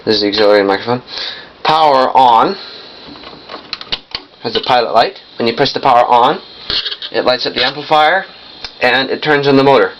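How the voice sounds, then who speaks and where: A young man giving a casual hands-on demonstration in a halting, piecemeal way, often correcting himself. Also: mid-range voice, fairly bright tone. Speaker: vintage audio collector demonstrating a machine. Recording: muffled lo-fi camcorder sound, noisy.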